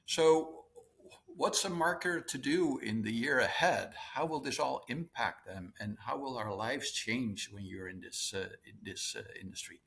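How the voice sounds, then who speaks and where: A man's voice: mid-range voice, unhurried, a bit bright. A man with a Dutch accent hosting an interview, asking friendly, leading questions. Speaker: interview host. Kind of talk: conversational interview.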